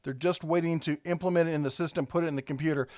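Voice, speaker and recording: A man's voice: a little high, fairly even intonation, very fast, darkish tone, no echo, clean studio sound. A man hosting a casual product review, talking conversationally and thinking aloud as he rambles along.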